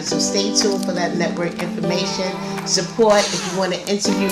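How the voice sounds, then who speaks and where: A woman hosting a promotional interview show, speaking in a chatty way and addressing people directly. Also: fairly low pitch. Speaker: TV show host.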